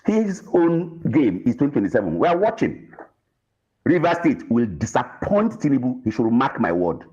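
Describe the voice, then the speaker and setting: A Nigerian man delivers political commentary with big swings in pitch. He is emphatic and repeats phrases to drive them home, and the audio is somewhat dull and lo-fi. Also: sing-song, moderate pace, mellow. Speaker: political analyst panel guest.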